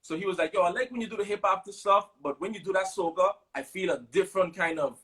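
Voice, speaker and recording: A man in his thirties from Sint Maarten with a Caribbean English accent, a guest telling stories in a relaxed interview, with plenty of fillers. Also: sits high, fast. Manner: relaxed storytelling with many fillers. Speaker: guest musician. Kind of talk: casual interview chat.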